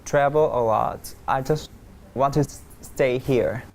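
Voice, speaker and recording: A woman's voice: rumbling low, slow, gravelly, noisy background. A woman co-hosting a talk show, speaking hesitantly in simple phrases. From Vietnam. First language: Vietnamese.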